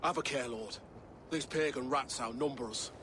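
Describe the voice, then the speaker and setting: A man's voice: mid-range voice, normal speed, background noise, natural voice. A man playing a restrained, proud royal warrior. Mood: cautious. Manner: formal, restrained. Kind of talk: dramatic game cutscene acting.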